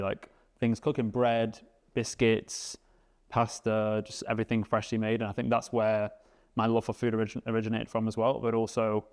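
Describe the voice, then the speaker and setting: A man, chatting casually as a guest, relaxed and rambling with lots of fillers. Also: lowish voice, smooth. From England. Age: 20s. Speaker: guest, vegan cook. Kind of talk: casual interview chat.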